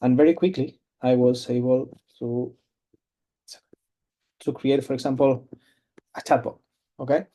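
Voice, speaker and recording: Halting man presenting on a webinar. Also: medium pitch, fairly even intonation, dark tone. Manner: halting, many fillers and restarts. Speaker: cloud company presenter. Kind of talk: webinar product demo. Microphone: video call.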